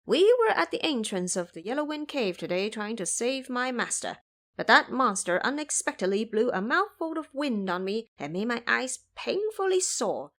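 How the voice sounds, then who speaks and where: A woman's voice: on the high side, very animated, normal speed, a bit bright. A woman voicing a bold male monkey disciple with polite but boastful speech in an audio drama. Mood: explaining.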